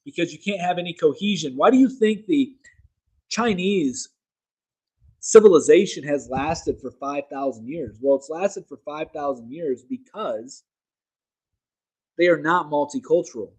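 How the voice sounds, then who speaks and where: A man's voice: on the high side, animated, measured pace, a little mellow, husky, low-quality recording. A man hosting a casual opinion livestream, talking emphatically and rambling, correcting himself mid-sentence. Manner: reads articles aloud to viewers. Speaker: political livestream host.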